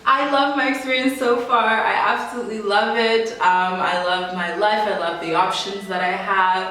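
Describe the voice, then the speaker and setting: Bright, chatty young woman in an echoey room. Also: mid-range voice, moderate pace, distant mic. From Canada. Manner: laughs often, animated, chatty. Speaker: interview guest. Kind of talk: casual interview answers.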